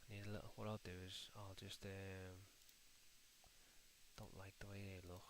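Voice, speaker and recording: Low, flat-voiced Scouse streamer. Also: male voice, normal speed, noisy background. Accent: Liverpool (Scouse). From Liverpool, England. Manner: chatty, calls viewers mate and lad, mutters to himself while working. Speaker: livestream host. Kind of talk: casual live-stream chat while building in a game editor.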